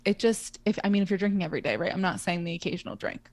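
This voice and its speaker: A woman's voice: on the low side, expressive, rapid-fire, darkish tone, no echo. A woman in her twenties co-hosting a podcast, chatting casually with frequent laughs and fillers. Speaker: podcast co-host. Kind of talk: casual chat.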